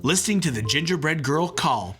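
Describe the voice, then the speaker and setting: A man's voice: fairly high pitch, expressive, a little fast, a bit bright, faint music behind. A man reading a children's story aloud as a playful storytelling narrator. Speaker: comedian reading children's books. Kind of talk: animated storybook read-aloud.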